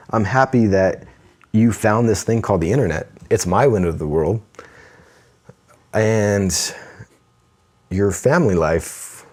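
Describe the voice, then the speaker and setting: A man's voice: fairly low pitch, darkish tone, slightly rough. A man co-hosting a casual podcast, chatting with enthusiasm, laughing often and reading listener letters aloud. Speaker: podcast co-host.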